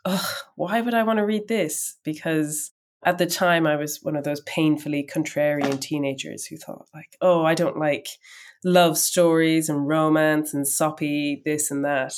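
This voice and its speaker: A woman guesting on a podcast, chatty and laughing between thoughts, a little raspy from a summer cold. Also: deep voice, steady tone, measured pace. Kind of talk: casual conversation.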